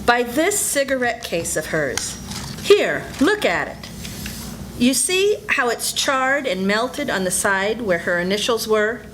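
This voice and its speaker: A woman's voice: on the high side, big pitch swings, takes it slowly, fairly bright tone, slight background noise, heavily acted, rough recording. An older woman playing a nervous, suspicious friend in an amateur old-time radio melodrama, speaking urgently and fearfully.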